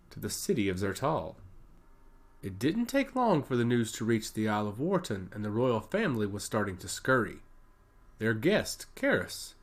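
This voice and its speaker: A man narrating a scripted fantasy story with a dramatic delivery. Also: medium pitch.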